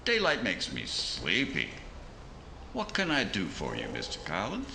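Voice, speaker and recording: A roomy, noisy recording of a smooth American gangster boss from a radio crime play. His gravelly voice swoops up and down in coy, mock-innocent tough-guy talk. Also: sits low, huge pitch swings, a little slow, pushed and bright, exaggerated voice. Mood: smug, boastful. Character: smooth, sly Chicago jukebox-king gangster who hates newspapers. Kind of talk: 1950s radio crime drama acting.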